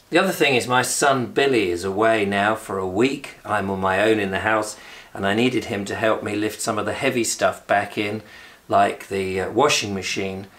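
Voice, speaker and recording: A man from England with a hoarse, recovering voice, chatting casually in a rambling video diary. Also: lowish voice, a bit bright. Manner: rambling, chatty, self-deprecating. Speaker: video blogger. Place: indoors at home and in a flat.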